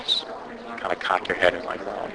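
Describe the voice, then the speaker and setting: A man's voice: low-pitched, completely flat delivery, moderate pace, vocal fry. A man interviewing an actor for a film review site, chatty and enthusiastic, rambling with fillers. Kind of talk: casual press interview. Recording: crowd chatter behind.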